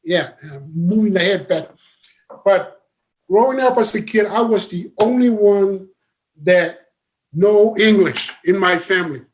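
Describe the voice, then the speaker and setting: High-voiced man, halting talk. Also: poor audio. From Samoa. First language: Samoan. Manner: halting, full of uh's and restarts. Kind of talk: casual conversation.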